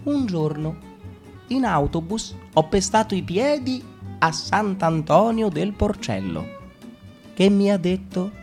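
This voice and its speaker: A man reading a literary text as radio theatre, dramatic and shifting between calm and agitated. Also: high voice, slow, soft background music.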